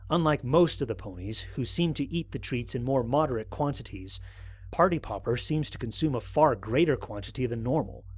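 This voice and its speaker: A man playing an observant, analytical space explorer, narrating formal mission logs in a measured, precise way for an audio drama. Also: mid-range voice, lively intonation, breathy edge. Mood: intrigued, measured. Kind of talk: scripted first-person audio drama narration.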